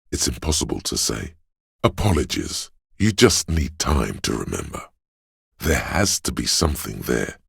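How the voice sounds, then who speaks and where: Game role: erudite inner voice of pure knowledge, extremely low and husky, measured, pedantic narration. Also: male voice, no room sound, studio quality, full character voice. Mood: matter-of-fact. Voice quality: very deep, gravelly, husky.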